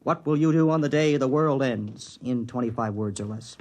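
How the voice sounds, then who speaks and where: A man's voice: medium pitch, moderate pace, a little mellow, lightly acted. An actor playing a breezy, irreverent small-town boy with slangy, casual talk in a 1950s radio drama. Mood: amused, irreverent. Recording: restored vintage radio recording.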